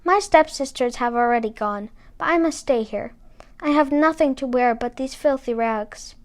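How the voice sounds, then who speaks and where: Woman voicing high-pitched, wistful young storybook heroine. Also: normal speed, close to an everyday voice. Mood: yearning. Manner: exclamatory, earnest. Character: young Cinderella, mistreated, wistful, wide-eyed and eager. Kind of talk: storybook character voice.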